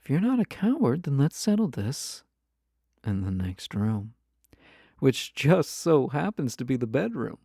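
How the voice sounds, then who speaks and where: A flirty yandere lover acted out for an audio roleplay speaks slowly and intimately, right to the listener. The voice is mellow with a creaky edge, coy and possessive, slipping into breathy teasing. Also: mid-range voice, very animated, no echo, clean studio sound, exaggerated voice. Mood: teasing, daring.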